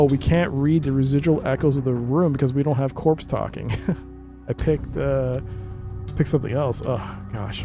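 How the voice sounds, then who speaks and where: A man streaming a game, reading its narration aloud and adding casual commentary. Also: medium pitch.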